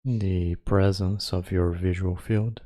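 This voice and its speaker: A man teaches guided meditation in a low, mellow voice. He speaks very slowly and calmly, leaving long pauses between short phrases. The sound is close and dry. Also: dry recording. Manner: calm, measured.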